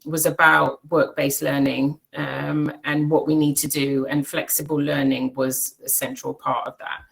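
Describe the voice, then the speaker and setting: A middle-aged British woman, a chief executive, giving an unscripted online presentation, thoughtful and halting with many fillers. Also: low voice, vocal fry, fairly bright tone. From Britain and Cyprus. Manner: thoughtful, many ums and restarts. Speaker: CEO of an education organization.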